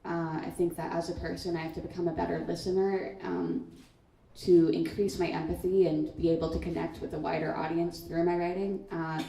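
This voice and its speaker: A woman's voice: on the low side, level tone, a little fast, gravelly, mellow. A young woman on a student writers' panel, giving a thoughtful answer with many "um" and "like" fillers. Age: teens. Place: school event hall. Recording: echoey room, far from mic.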